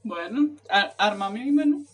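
A woman's voice: medium pitch, lively intonation, measured pace, far from the mic. A young woman in a casual chat, teasing her friend and laughing along.

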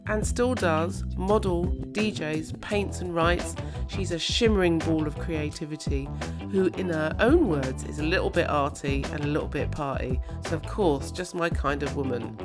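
A woman hosting a chat show, husky from a recent illness, chatty and quick to exclaim. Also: on the low side. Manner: chatty, enthusiastic, exclaims often. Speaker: podcast host. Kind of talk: casual chat interview.

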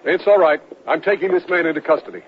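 A man's voice: a little high, quick pace, close to an everyday voice. A man acting in a 1970s radio drama as a firm, put-upon police captain, commanding and impatient. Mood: firm, exasperated. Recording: muffled noisy old radio broadcast.

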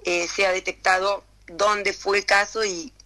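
A middle-aged woman from Argentina with an Argentine Spanish accent, talking casually as an interview guest with many pauses, fillers and restarts. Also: medium pitch, little pitch movement, pushed and bright.

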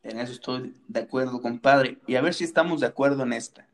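A man's voice: mid-range voice, fast. Playful man asking rhetorical questions. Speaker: wrestling podcast co-host. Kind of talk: casual chat.

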